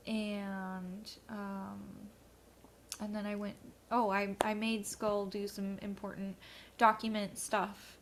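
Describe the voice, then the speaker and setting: A woman talks slowly and hesitantly, full of ums and likes, as she rambles through her day. She sounds a bit stuffy from allergies, and faint background noise sits under her voice. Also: medium pitch, a bit bright. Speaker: vlogcast co-host. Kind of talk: rambling casual chat.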